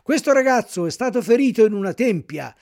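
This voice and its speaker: A man's voice: high-pitched, expressive, brisk, gravelly, darkish tone, voice pushed up. A man voicing stern military policemen in a storybook reading, giving curt, soldierly orders. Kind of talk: audiobook character reading.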